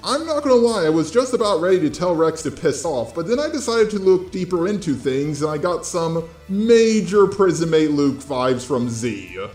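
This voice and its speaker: A man's voice: fairly high pitch, very animated, normal speed, few pauses, darkish tone. A man delivering scripted online commentary in a blunt, informal way, speaking straight to his viewers. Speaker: host of online drama commentary videos. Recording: roomy echo with music underneath.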